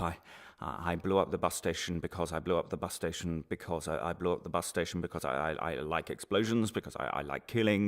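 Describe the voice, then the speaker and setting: A man's voice: low voice, lightly acted. An actor playing a desperate, broken male prisoner in a radio drama, stammering and repeating himself in agitation as he confesses. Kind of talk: one-man radio drama monologue.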